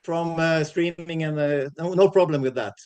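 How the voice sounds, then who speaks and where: A man, a guitarist answering interview questions in a halting, modest way over a video call. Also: on the high side, a little mellow, smooth. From Sweden. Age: 60s.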